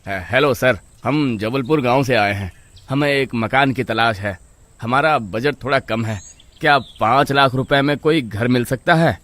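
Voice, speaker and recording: A man's voice: mid-range voice, a little slow, fairly bright tone, close to an everyday voice. A man playing an eager, trusting young husband from a village in a dramatised horror story, earnest and exclaiming. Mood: eager, polite.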